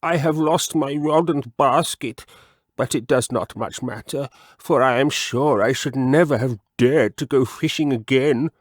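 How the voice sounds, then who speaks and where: A man voicing a fussy, genteel gentleman frog from a storybook, with deliberate, drawn-out, expressive phrasing. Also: medium pitch, no room sound, clean studio sound, pitched above the performer's own voice, natural voice. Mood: relieved, rueful. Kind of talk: storybook character voice.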